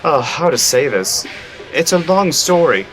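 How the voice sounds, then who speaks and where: A man's voice: fairly high pitch, sing-song, unhurried, fairly bright tone, soft background music, low-quality recording. A man playing an easygoing, teasing adult writer with a breezy manner in a radio drama. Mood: breezy, playful. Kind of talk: amateur anime-style radio drama acting.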